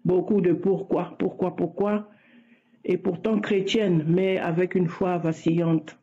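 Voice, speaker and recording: A woman's voice: fairly low pitch, little pitch movement, measured pace, warm tone. A woman giving an earnest spoken religious testimony, often repeating her words. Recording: muffled lo-fi recording.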